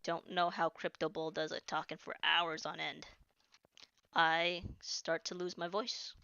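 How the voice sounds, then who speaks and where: A woman's voice: lowish voice, flat delivery, measured pace, creaky, pushed and bright, clean tone, no echo. A woman chatting casually during a live game stream, rambling and restarting sentences, her voice starting to tire. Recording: dull lo-fi stream audio.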